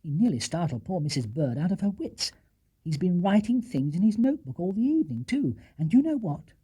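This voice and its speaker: A man's voice: sits high, big pitch swings, brisk, warm tone, husky, pitched above the performer's own voice, heavily acted, rough recording. A British man voicing a suspicious, fretful middle-aged housewife in a storybook, chatty and confiding. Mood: puzzled, suspicious.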